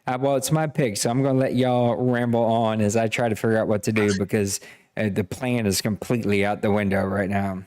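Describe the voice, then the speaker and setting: A man's voice: lowish voice, fairly even intonation, fairly quick, darkish tone. A man hosting a live podcast draft, casually reading out player picks and stats with self-deprecating jokes. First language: Cajun French. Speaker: podcast host running a draft. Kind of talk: casual live-stream commentary.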